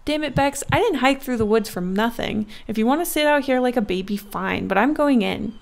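A woman's voice: medium pitch, expressive, fairly quick, vocal fry, a little mellow. Woman doing pushy stubborn teen girl Zoe. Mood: insistent. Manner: pushy, impatient. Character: bold teenage girl Zoe, stubborn and teasing.

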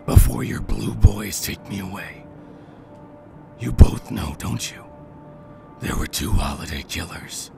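Very gravelly, bitter fallen lawman played by a man. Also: fairly low pitch, lively intonation, a little slow, pauses often, heavily acted. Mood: taunting. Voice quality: gravelly, whispery. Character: scarred, bitter, menacing former district attorney turned killer. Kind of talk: radio noir audio drama acting. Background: music.

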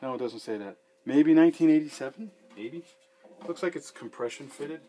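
A man's voice: a little high, moderate pace, warm tone. Swearing man narrating a sink repair. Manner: swears freely, rambling, chuckling to himself. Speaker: handyman fixing a sink. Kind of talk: casual how-to video with running commentary. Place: small basement room with a sink and cabinets. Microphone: fixed camera a few feet away.

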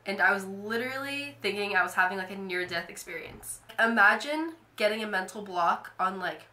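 A woman's voice: mid-range voice, expressive, moderate pace, bright, forceful tone, roomy sound. A young woman vlogging to camera from her bedroom, talking casually with many "like" fillers, a little nasal from a cold. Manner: chatty, lots of "like" fillers. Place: bedroom, indoors.

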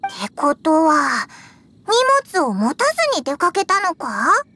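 A woman voicing a small childlike fairy companion in an anime game, curious and blunt, with a squeaky voice. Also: very animated, measured pace, pushed and bright, exaggerated voice. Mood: puzzled. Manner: exclamatory, blunt and inquisitive. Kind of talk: Japanese anime game voice acting.

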